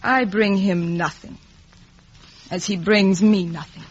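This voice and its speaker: A woman's voice: mid-range voice, fairly even intonation, slow pace, slightly rough. An actress playing a cold, proud, detached young lady in a 1950s radio drama. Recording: muffled, dull vintage radio recording.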